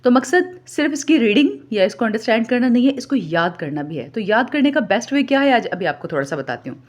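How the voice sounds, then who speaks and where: Higher-pitched Pakistani woman giving a lecture. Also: very animated, quick pace. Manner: methodical, repeats key points for memorising; mixes English terms and read-out clauses into explanation. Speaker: law lecturer. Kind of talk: instructional lecture for exam students.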